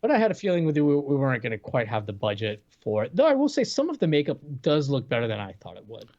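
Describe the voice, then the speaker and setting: A man's voice: mid-range voice, huge pitch swings, quick pace, a little mellow. A man in his thirties co-hosting a movie review show, giving casual, conversational opinions in a hesitant way. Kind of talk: casual chat, film commentary. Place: indoors, video call. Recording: slightly dull, lo-fi sound.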